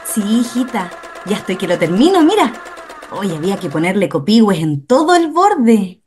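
A woman's voice: mid-range voice, sing-song, moderate pace, darkish tone, faint music behind, close to an everyday voice. A woman playing an affectionate, fussing Chilean mother in a radio drama. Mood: affectionate, concerned. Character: caring mother, seamstress fussing over her daughter. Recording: noisy, with machine clatter behind.

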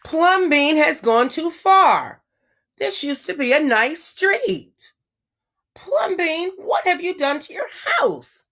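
A woman's voice: very high voice, big pitch swings, takes it slowly, fairly bright tone, pitched above the performer's own voice, full character voice. A woman reading aloud the part of indignant, gossiping neighbors in a storybook, exclaiming and scolding.

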